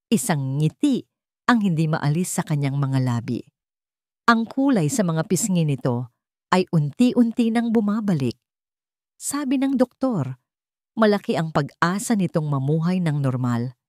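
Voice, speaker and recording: Low-pitched Filipino woman narrating a drama. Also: a little mellow, dry recording, clean studio sound. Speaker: storyteller. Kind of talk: scripted dramatic story narration.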